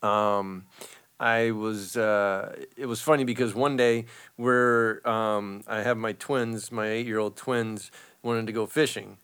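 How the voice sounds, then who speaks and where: Bright-voiced man telling a rambling story. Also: fairly low pitch, slow pace, clean tone. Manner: rambling, animated, many fillers. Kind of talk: casual chat, storytelling.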